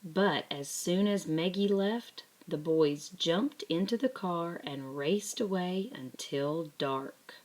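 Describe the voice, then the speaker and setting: A woman narrating a children's picture book aloud in a deliberate, expressive way. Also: on the low side, low-quality recording. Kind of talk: scripted children's story narration.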